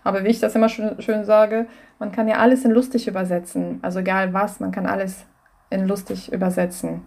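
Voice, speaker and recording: A woman's voice: medium pitch, fairly quick, gravelly. Chatty woman with a Russian background. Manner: lively, enthusiastic, many fillers. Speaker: comedian guest. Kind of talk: casual interview chat.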